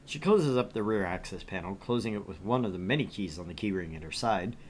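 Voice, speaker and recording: A man's voice: low voice, expressive, brisk, a little hiss or hum. A man streaming a visual novel, reading its narration aloud and chatting casually about it. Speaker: streamer and reader.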